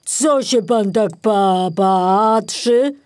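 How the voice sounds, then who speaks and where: Woman voicing a big halting grumpy storybook bear. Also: medium pitch, a little mellow, dry recording, pitched above the performer's own voice. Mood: uneasy, confused. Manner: halting, stammering. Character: big grumpy hungry bear, slow-witted male.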